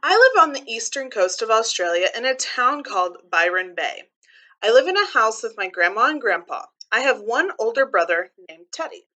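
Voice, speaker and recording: A woman with a fairly high, bright voice reads a children's book aloud in the style of a teacher. She explains things in simple, clear terms for young listeners, moving between reading and commenting.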